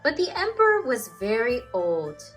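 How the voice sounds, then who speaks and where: Woman reading a storybook with lively, swooping intonation. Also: fairly high pitch, normal speed, faint music behind. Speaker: teacher reading children's storybook aloud. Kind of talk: expressive children's storybook reading.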